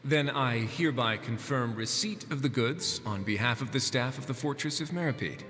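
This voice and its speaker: A man's voice: mid-range voice, moderate pace, a bit bright, natural voice. A man playing a wry, easygoing fortress administrator in a video game, with a teasing, laid-back delivery. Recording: long hall reverb, faint music. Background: faint music.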